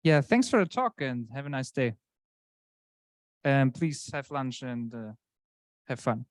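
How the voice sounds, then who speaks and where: A man's voice: medium pitch, sing-song, unhurried, no echo, clean studio sound. Man moderating, brief and informal. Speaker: session moderator. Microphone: video call.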